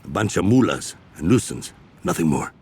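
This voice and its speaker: Gravelly, dismissive gang boss. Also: male voice, deep voice, very animated, moderate pace, darkish tone, full character voice. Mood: dismissive, defensive. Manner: dismissive, switches between English and Spanish. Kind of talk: video game cutscene acting.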